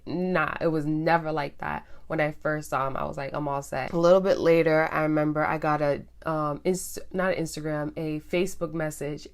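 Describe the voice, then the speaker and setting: A young woman with a low voice tells her story in a candid, chatty vlog. She grew up in Guyana and Trinidad and keeps slipping into little quoted asides of what she thought back then. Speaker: vlogger.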